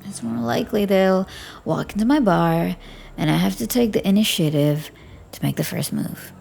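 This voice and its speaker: A woman performing a scripted audio roleplay as a flirty, teasing bartender, speaking softly and often near a whisper. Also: medium pitch, moderate pace, creaky, slight background noise.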